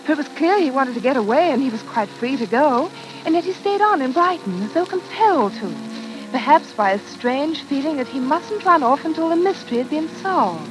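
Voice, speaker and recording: A woman's voice: sits high, animated, brisk. An actress playing an earnest, devoted, well-bred young woman in refined, emotive 1940s radio drama style. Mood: troubled, reflective. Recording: noisy, hissing old radio recording.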